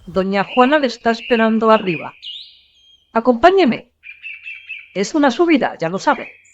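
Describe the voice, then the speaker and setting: Actress doing a brisk palace servant, high voice, very animated intonation, dull lo-fi sound, birdsong behind. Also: female voice. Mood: brisk, announcing. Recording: dull lo-fi, faint noise.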